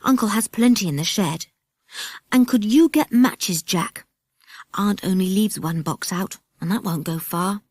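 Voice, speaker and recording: A woman's voice: mid-range voice, a little slow, a little mellow, dry recording, studio quality, natural voice. A British woman voicing an eager, helpful young boy adventurer in a children's storybook, keen and practical. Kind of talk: children's storybook character reading.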